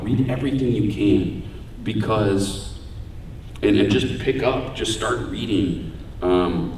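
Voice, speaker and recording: Deep-voiced man echoing in a large hall. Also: dark tone, a little hiss or hum. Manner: casual, joking, conversational. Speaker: voice actor giving a panel. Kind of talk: convention panel talk. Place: large convention hall room. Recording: echoey hall, voice far from mic.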